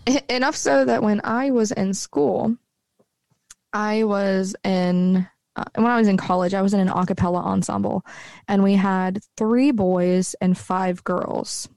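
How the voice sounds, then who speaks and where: A woman co-hosting a podcast with a voice that sits low for a woman, talking at an easy middle pitch. She chats in a relaxed, friendly way. The sound is dry and close, with no room around it. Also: a little slow, gravelly, dry recording. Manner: relaxed, chatty, laughs easily. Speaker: podcast co-host. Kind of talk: casual chat. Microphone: own microphone with bass roll-off.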